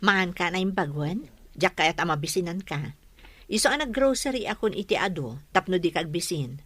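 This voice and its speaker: A woman's voice: lowish voice, animated, a little fast. A woman playing a responsible, protective, hardworking older sister in a radio drama. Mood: caring, firm.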